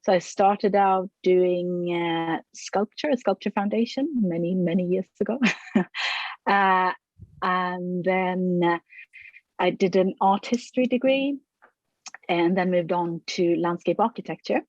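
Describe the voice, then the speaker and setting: A middle-aged woman from Sweden, a potter answering interview questions thoughtfully, with frequent pauses, fillers and restarts. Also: lowish voice, darkish tone, dry recording, highs cut off. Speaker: ceramic artist, interviewee.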